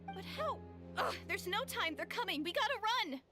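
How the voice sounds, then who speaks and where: Very high-pitched, flustered young girl. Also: very animated, normal speed, music in the background. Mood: panicked, pleading. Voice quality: girlish. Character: young girl in peril, flustered, earnest, shy. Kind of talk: animated fantasy game voice acting.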